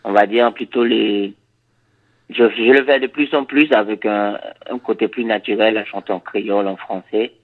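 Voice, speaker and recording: A reggae musician from Suriname, a man whose first language is Dutch, answering interview questions in a halting, thoughtful way with many repeated words. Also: on the low side, fairly even intonation, phone-quality sound.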